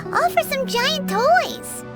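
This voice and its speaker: Super high squeaky little fairy sidekick, excitable, bouncy pitch, game music behind. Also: female voice, takes it slowly, exaggerated voice. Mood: indignant. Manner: refers to herself in third person, exclamatory. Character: small excitable fairy companion, childlike and indignant. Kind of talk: English video game voice acting.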